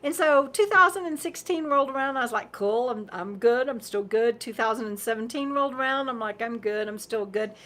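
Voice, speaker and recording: A woman's voice: fairly high pitch, brisk, hardly any pauses, fairly bright tone. A woman in her fifties hosting a casual livestream, reading viewer comments, rambling and laughing often, losing her voice. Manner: rambling, chatty, laughs often, repeats words. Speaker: livestream host.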